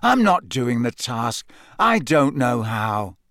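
A man's voice: sits high, sing-song, normal speed, no room sound, clean studio sound, pitched above the performer's own voice, exaggerated voice. Man playing an anxious, breathless boy wizard. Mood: stunned, hopeless. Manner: breathless, incredulous. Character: anxious boy wizard, bewildered and easily alarmed. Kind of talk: audiobook character acting.

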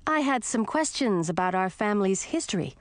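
A woman's voice: medium pitch, very animated, fairly quick, no room sound, lightly acted. A woman voicing a bold, earnest young princess with magic powers, insistent and forthright, in a storybook reading. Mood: probing.

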